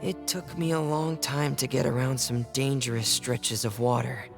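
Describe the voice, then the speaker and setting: A man's voice: mid-range voice, fairly even intonation, moderate pace, gravelly, natural voice. A man voicing a timid, dutiful teenage boy in a video game, with a hesitant, earnest and polite manner. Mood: earnest, reserved. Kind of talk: dramatic video game voice acting. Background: music.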